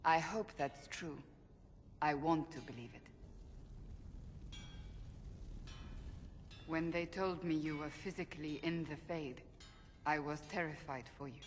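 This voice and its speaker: An actress playing a stern, devout, earnest warrior in a video game, speaking formally. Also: female voice, sits low, moderate pace, lightly acted. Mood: solemn, worried. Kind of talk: video game dramatic acting. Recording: long reverb, background noise.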